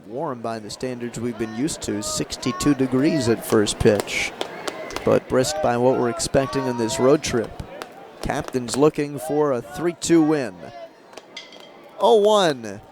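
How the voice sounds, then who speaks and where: A man doing live radio play-by-play of a baseball game as the announcer, in measured calls with emphasis on key moments. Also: medium pitch. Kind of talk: live radio sports commentary. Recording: crowd and ambient stadium noise behind. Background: ballpark crowd murmur.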